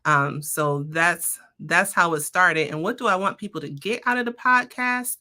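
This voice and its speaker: A woman's voice: on the low side, normal speed, pushed and bright. A woman speaking as a podcast guest, giving casual, conversational answers in an explanatory, anecdotal way.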